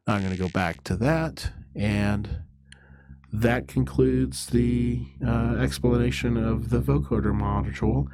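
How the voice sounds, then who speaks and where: A man narrating a hands-on synthesizer tutorial in a halting, deliberate way, explaining as he goes. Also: mid-range voice, background noise. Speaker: tutorial presenter.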